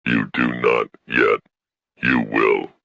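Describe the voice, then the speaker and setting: A man playing a stern, proud alien from a wronged people in a sci-fi audio story, solemn and unbending, with a gravelly voice. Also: flat delivery, takes it slowly, sharp tone, no room sound, highs cut off, full character voice. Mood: grave resolve. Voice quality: very deep, gravelly.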